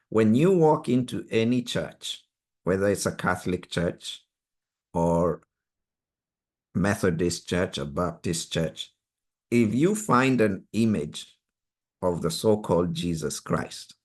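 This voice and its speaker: Slow, deep-voiced Swahili-speaking man teaching. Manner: earnest, emphatic, deliberate. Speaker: study group leader. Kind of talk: online Bible study teaching. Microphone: video call.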